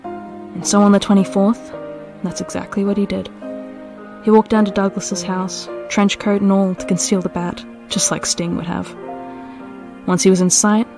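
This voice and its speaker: A woman's voice: medium pitch, flat delivery, moderate pace, music in the background. A woman delivering scripted dramatic story narration as the narrator.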